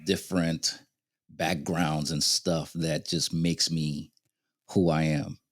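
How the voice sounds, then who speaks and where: Low-voiced man telling his story. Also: level tone, slow, no room sound, clean studio sound. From Haiti, born in Venezuela. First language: Haitian Creole or Spanish. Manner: thoughtful, laughs easily, storytelling. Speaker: guest. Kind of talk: casual interview conversation.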